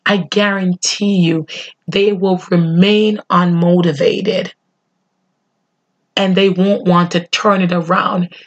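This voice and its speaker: A woman's voice: lowish voice, flat delivery, dull audio. A middle-aged woman hosting a motivational solo podcast, earnest and emphatic, slightly nasal as she gets over being sick. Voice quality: a little nasal. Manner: earnest, emphatic, slows on key phrases. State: under the weather, voice not fully recovered. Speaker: podcast host.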